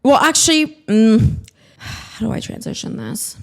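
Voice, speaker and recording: A woman's voice: fairly high pitch, big pitch swings, measured pace. A woman in her twenties hosting her own podcast, ranting in a scattered, self-mocking way and swearing freely. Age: 20s. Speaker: podcast host. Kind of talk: casual solo podcast monologue.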